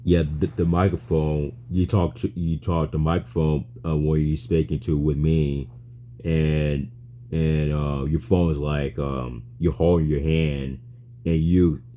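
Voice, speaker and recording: A man chatting casually in halting, repetitive, non-fluent phrases, often restarting his words. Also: extremely low pitch, fairly even intonation, dark tone. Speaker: chatbot user. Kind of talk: casual spoken chat.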